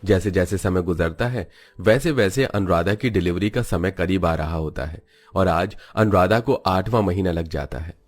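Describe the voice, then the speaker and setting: A man narrates a scripted moral tale in a steady, even storytelling voice. His voice sits fairly low. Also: moderate pace.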